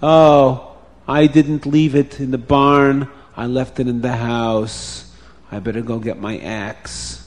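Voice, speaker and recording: A man voicing a murderous, debt-dodging farmer in a told story, speaking slowly and emphatically with menace. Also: mid-range voice, flat delivery, natural voice. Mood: realizing. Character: murderous farmer who refuses to repay his debt. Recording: long hall reverberation.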